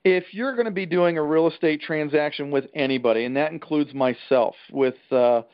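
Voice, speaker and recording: Higher-pitched man on a dull call line. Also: normal speed. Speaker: real estate trainer and host. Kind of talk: informal training conference call talk. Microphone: telephone conference call. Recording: dull, band-limited conference call line.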